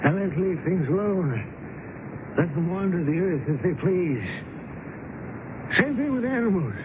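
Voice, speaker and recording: A very old country gentleman speaks in a high voice with courteous, hesitant earnestness and deep conviction. It is radio drama, heard through a thin, tinny vintage broadcast with clear noise. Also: big pitch swings, normal speed. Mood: earnest, impassioned. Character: kindly, impassioned ninety-year-old nature-loving country gentleman. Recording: noisy, band-limited vintage radio broadcast.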